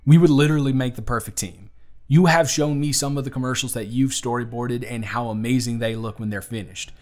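A man's voice: medium pitch, fairly even intonation, fairly quick, darkish tone, lightly acted. A man with a Southern US accent playing an earnest, charming young bartender in love in an intimate audio roleplay. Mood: earnest, admiring. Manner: earnest, conversational, sweet-talking. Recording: music playing under the voice. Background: acoustic music.

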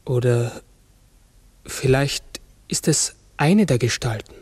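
An actor playing a large talking raven in a 1960s radio drama, brooding, uncertain and often correcting himself. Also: male voice, medium pitch, animated, a little mellow, breathy edge, no echo. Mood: pensive, uncertain. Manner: halting, brooding, self-correcting. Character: human-sized talking raven, pensive, uncertain, forgetful.

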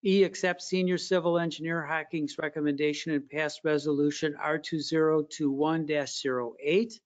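A man chairing a council meeting by video call, reading agenda items in a steady, formal manner. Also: a little high, normal speed. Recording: dull lo-fi video call audio.

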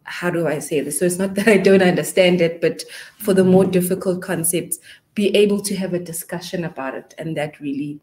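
A woman's voice: lowish voice, a little fast, darkish tone. A native Zulu-speaking woman with a South African accent talking informally as a panelist. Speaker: webinar panelist. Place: indoor meeting room.

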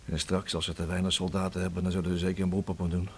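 An actor with a Dutch accent playing an anxious, hesitant father in a radio drama, speaking haltingly. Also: low-pitched, level tone, slightly rough. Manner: halting, stammering. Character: anxious, hesitant father worried for his son.

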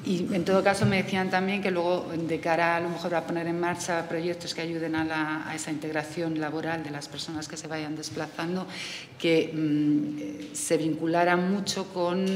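A middle-aged woman speaking on a conference panel about aid work, hesitant and pausing often. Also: lowish voice, level tone. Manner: hesitant, many fillers and restarts. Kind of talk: panel discussion. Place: conference hall, indoors. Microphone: desk microphone. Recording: reverberant hall, faint room noise.

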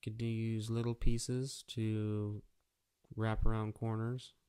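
A man's voice: lowish voice, level tone, slow, dark tone, clean tone. A man narrating a casual step-by-step how-to tutorial in a plain, practical, matter-of-fact way. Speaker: auto painter giving a how-to tutorial.

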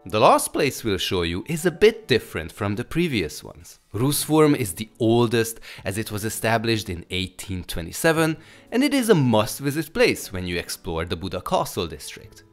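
A man with a Hungarian accent narrates a scripted travel guide. His voice sits fairly low but swings very widely in pitch, over background music. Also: sing-song, normal speed.

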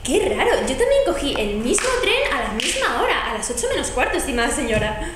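Teen girl as a courteous wondering lady, from Spain, high voice, strong hall echo. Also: sing-song, brisk, noisy background. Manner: polite, marvelling. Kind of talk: amateur school stage acting. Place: large school hall stage. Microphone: far camera. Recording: echoey hall from far off.

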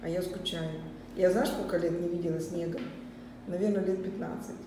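A woman's voice: lowish voice, steady tone, brisk. A middle-aged woman with a Russian accent chatting casually and asking questions, recorded in a very echoey kitchen-dining room. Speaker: vlogger interviewing a child. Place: hard-surfaced open kitchen-dining room. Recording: very echoey room, distant, noisy.

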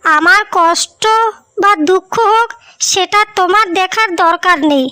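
A devoted, easily wounded young bride from a cartoon melodrama, played by a woman. Her voice is extremely high-pitched and sharp, plaintive and pleading. Faint music sits behind it. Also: a little slow, dry recording, studio quality, exaggerated voice. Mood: hurt, pleading. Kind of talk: melodramatic cartoon story dialogue. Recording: music bed under the voice.

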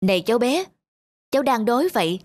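A woman voicing a kindly, generous man in a cartoon, gentle and reassuring toward a child. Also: fairly high pitch, expressive, quick pace, no room sound. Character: kindly, generous man helping disaster survivors.

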